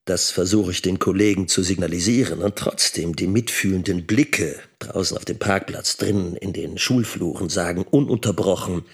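An abandoned middle-aged teacher and worried father in a radio play. He has a lowish voice with a husky grain and sounds burdened and anxious. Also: normal speed, few pauses. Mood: pained, brooding. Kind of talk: radio drama monologue and dialogue.

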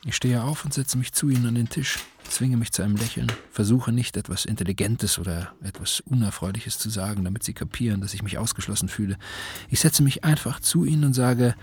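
A man's voice: mid-range voice, fairly quick, darkish tone, close to an everyday voice. Actor as introspective, bitter father, noisy recording. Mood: strained resolve. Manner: introspective, confessional. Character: self-doubting, bitter father, an author, narrating his life. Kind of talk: radio drama first-person monologue.

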